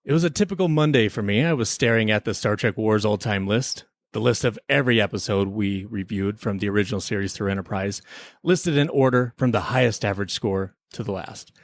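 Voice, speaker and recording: A man's voice: on the low side, fairly quick, no echo, natural voice. A man playing a smug chief temporal investigator in a scripted comic radio drama, with a mock-serious, theatrical delivery. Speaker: podcast creator playing himself as investigator.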